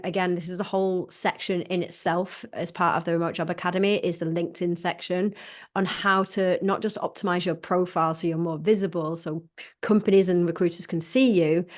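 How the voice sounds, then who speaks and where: A woman career coach speaks as a webinar guest, earnest and a little halting, often repeating a word as she restarts. Her voice sits on the lower side of middle. She comes through a video call. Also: fairly even intonation. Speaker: remote job coach, former recruiter.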